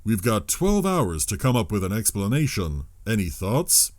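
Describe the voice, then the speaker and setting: A man playing an authoritative doctor who leads a team, speaking in a measured, commanding way in an audio drama. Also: on the low side, very animated, a little mellow, no echo. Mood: grave, measured.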